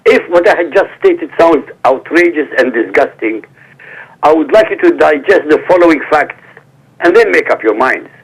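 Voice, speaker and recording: A man's voice: on the high side, expressive, moderate pace, husky. A man whose first language is Arabic, a guest on a talk show who reads a prepared statement in a formal, emphatic way over a phone line. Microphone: phone call.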